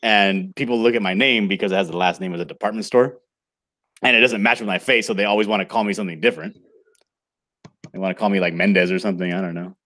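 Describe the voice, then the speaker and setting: A man talks casually as a guest in an online chat, easygoing and quick to chuckle. His voice sits a little low for a man. The delivery is loose and conversational. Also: steady tone, pushed and bright.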